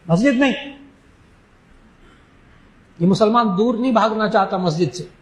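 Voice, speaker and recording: High-voiced scholar in an echoey room. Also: male voice. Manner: emphatic, slows to stress key phrases. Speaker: Islamic scholar. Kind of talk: religious talk answering questions.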